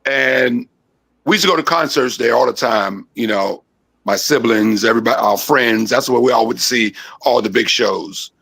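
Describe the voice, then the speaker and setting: A man's voice: mid-range voice, moderate pace, fairly bright tone, low-quality recording. A man of sixty from Maryland telling a rambling story in a casual panel discussion, repeating himself and saying "man" a lot, over a video call. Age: 60s. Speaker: co-host.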